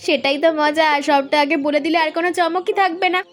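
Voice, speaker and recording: Woman doing a high fast-talking cartoon daughter, playful, eager. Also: fairly even intonation, soft background music, natural voice. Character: lively young village daughter, playful and secretive. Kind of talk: cartoon voice acting.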